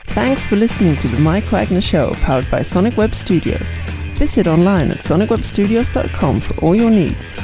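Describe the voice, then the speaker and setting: A man's voice: high voice, lively intonation, normal speed. A man reading a scripted outro announcement for a show. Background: plucked guitar music.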